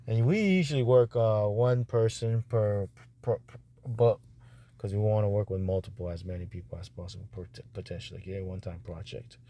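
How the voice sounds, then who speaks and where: A man talking casually and off the cuff as he reviews narrator auditions, halting and repetitive, with a reassuring manner. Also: lowish voice, dark tone.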